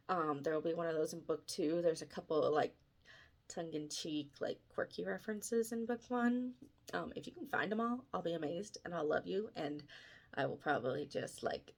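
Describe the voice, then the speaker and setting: This is a woman with a mid-range voice, chatting to viewers like an author presenting her own work. Her delivery is informal and enthusiastic, wandering from one thought to the next in an unscripted vlog style. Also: mid-range voice. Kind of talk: casual vlog chat to viewers.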